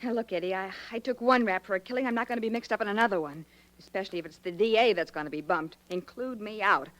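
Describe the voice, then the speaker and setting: An actress playing a cocky, vain gangster's moll with sassy, streetwise slang in 1940s radio style. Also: female voice, medium pitch, fast, a bit bright, natural voice, poor audio. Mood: alarmed, defiant.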